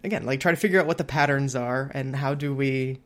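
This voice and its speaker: A man's voice: mid-range voice, quick pace. A man, perhaps in his thirties or forties, talking casually on a podcast, thinking aloud in a rambling way and acting out imagined quotes. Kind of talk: casual conversational podcast chat.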